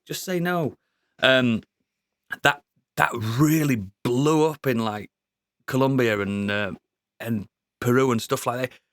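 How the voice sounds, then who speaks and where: Sweary, excitable man. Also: mid-range voice, takes it slowly, pauses often, no room sound, clean studio sound. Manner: sweary, excitable, laughs often. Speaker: podcast co-host. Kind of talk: casual review chat.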